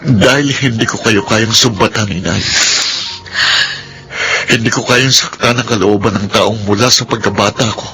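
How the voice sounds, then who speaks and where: An actor playing an earnest, forgiving young Filipino son in a radio drama, speaking deliberately. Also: male voice, medium pitch, pushed and bright, rough recording. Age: young adult. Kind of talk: Tagalog radio drama acting.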